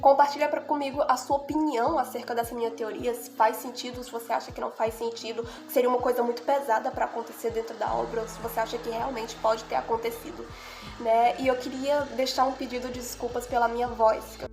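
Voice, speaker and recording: A young woman with a nasal, stuffed-up voice from a cold talks through a heartfelt fan analysis. Her voice sits slightly high, and she keeps checking in with the viewer as she goes. Soft music plays faintly in the background. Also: level tone, fast talker, gravelly, low-quality recording. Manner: earnest, heartfelt, repeats "né?" and "sabe?". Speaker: video creator analyzing anime. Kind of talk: casual analysis monologue.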